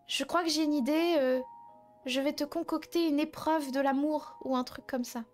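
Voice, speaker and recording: A woman's voice: high-pitched, steady tone, normal speed, a little mellow, soft background music, natural voice. A young woman playing an anxious young woman confiding her love troubles in casual, hesitant improvised roleplay dialogue. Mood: worried, confiding.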